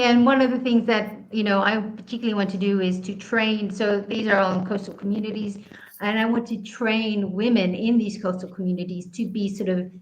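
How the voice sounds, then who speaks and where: A woman's voice: mid-range voice, poor audio. A woman from Southeast Asia, a guest answering interview questions in an earnest way, explaining at length with frequent ums.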